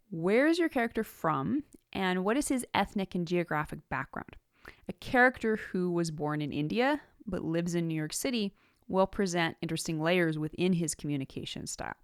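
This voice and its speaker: A woman with a slightly low voice delivers scripted instructional narration as a podcast host. Her manner is measured and orderly, laying out points one by one. The recording is close and dry, with very little room sound. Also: dry recording. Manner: measured, enumerating points clearly. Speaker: podcast host giving writing tips.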